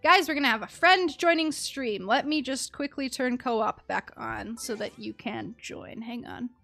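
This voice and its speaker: A woman's voice: fairly high pitch, a little slow, vocal fry, bright, forceful tone. A woman streamer chatting casually and thinking aloud to herself as she plays a game. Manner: chatty, muttering to herself while reading. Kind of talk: casual live gameplay chat, thinking aloud. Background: music.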